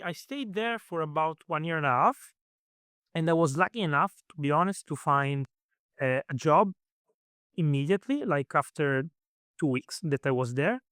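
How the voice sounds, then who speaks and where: A man with a clear Italian accent talks through his story in a relaxed interview. His voice sits a little high and sounds smooth and resonant. He often pauses with fillers and restarts his sentences, recorded close with no room sound. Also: dry recording. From Italy. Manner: hesitant, many fillers and restarts. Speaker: guest. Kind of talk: casual interview answers.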